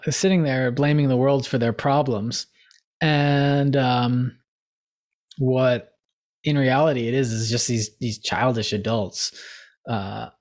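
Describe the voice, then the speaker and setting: A man in the middle of his own range, co-hosting a relaxed podcast conversation. He wanders through long, looping thoughts, reaching for fancy words and then poking fun at them. His tone is loose and self-mocking. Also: medium pitch. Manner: rambling, digressive, laughs at himself. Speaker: podcast co-host. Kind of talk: casual conversational chat.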